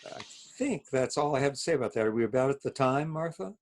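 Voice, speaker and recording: A man's voice: medium pitch, animated, fast talker, a little hiss or hum. Easygoing man hosting an online event. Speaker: host and narrator of an online play-reading evening. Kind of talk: informal introduction to an audience. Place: video call.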